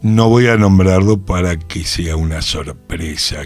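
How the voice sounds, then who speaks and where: A man's voice: sits low, unhurried, a little mellow, soft background music. A man with a Buenos Aires accent co-hosting a casual radio show from a studio. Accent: Argentine, Buenos Aires. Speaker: radio co-host.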